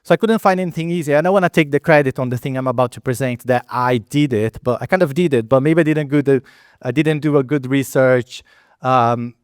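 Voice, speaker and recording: A middle-aged man with a Brazilian Portuguese accent presents at a product meetup, talking loosely with frequent fillers and self-corrections. His voice is smooth and resonant at an ordinary pitch. It is picked up close and dry, with little room sound. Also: a little fast, dry recording. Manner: conversational, many fillers and restarts, non-native phrasing. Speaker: product lead and Agile trainer giving a talk. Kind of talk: conference presentation. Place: event hall at a meetup.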